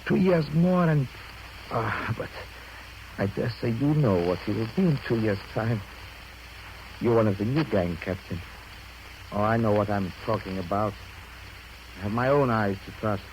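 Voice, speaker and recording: An actor playing a resentful, insinuating colonial assistant manager, knowing and pointed, in a 1930s radio drama. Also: male voice, lowish voice, animated, moderate pace, pauses often, a little mellow. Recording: noisy old 1930s radio broadcast recording.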